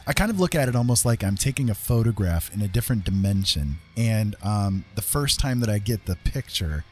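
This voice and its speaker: Low-voiced man rambling through an art tutorial. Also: expressive, fairly quick, faint music behind. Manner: rambling, thinking aloud. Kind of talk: casual live digital painting tutorial.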